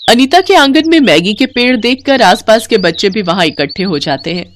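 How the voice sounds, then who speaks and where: A woman's voice: mid-range voice, lively intonation, a little fast, slight background noise. A woman storyteller reading scripted narration of a moral tale.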